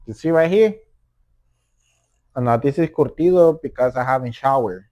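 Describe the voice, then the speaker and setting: A man from El Salvador with a thick Salvadoran accent, co-hosting a casual podcast chat in a playful, joking way, halting and restarting as he talks. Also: mid-range voice, dull audio. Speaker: podcast co-host.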